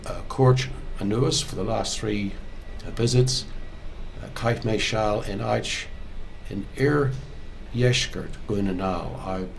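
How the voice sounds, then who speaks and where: An older man with a Belfast accent tells his own story slowly, giving each phrase in Irish and then in English, with some hesitations. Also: lowish voice, gravelly, slight background noise, poor audio. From Northern Ireland. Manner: measured, unhurried, with hesitations. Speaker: museum storyteller.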